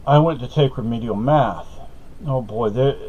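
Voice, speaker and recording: A man's voice: mid-range voice, normal speed, a little mellow. Rambling middle-aged man, lo-fi sound. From Spain. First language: Spanish. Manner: meandering, hesitant, many fillers and self-corrections. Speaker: vlogger. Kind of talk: rambling personal monologue. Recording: slightly dull lo-fi audio.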